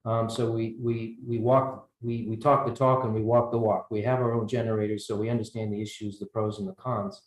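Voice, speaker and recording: A man's voice: fairly low pitch, little pitch movement, fairly quick, hardly any pauses. American man on a roomy panel. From United States. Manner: hesitant, repeats words while thinking. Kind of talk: panel discussion. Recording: roomy echo.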